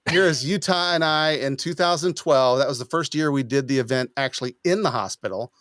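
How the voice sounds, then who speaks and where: A middle-aged man talks casually as a podcast host. His delivery is halting and a little hesitant, at a medium pitch. The sound is close and dry, on a studio mic. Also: fairly bright tone, dry recording. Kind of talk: casual chat. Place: small home studio room. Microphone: boom-arm studio mic up close.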